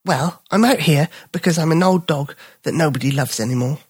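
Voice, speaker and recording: A storyteller voicing a small old male dog who is polite, gentle and sad. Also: medium pitch, a little fast, breathy edge, no room sound, clean studio sound, pitched above the performer's own voice, natural voice. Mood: wistful. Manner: polite, wistful.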